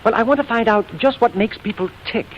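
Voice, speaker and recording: A man's voice: sits high, quick pace, natural voice. A man playing a naive, earnest young rich man, bashful and formal in 1940s comedy style. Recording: muffled old soundtrack, faint noise.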